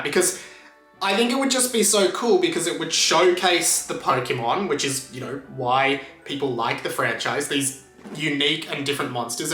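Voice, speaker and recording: Casting: Australian male vlogger, enthusiastic and chatty, forceful bright tone, recorded in an echoey room. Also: medium pitch. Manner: enthusiastic, conversational. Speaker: YouTube host. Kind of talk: casual pop culture commentary to camera. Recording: roomy echo, music underneath.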